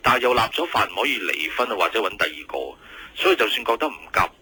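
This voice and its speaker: A man acting an exuberant scientist from the future in a radio drama, with a Hong Kong Cantonese accent and an excitable way of explaining things simply. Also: mid-range voice, fast talker, breathy edge, no room sound, exaggerated voice. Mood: confiding. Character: exuberant male scientist calling from twenty years ahead.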